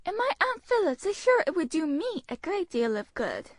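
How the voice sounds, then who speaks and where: A woman's voice: extremely high pitch, big pitch swings, moderate pace, no echo, clean studio sound, pitched above the performer's own voice. A woman putting on a whiny, peevish teenage girl. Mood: complaining. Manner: whiny and petulant. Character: peevish, whining teenage girl, jealous younger sister.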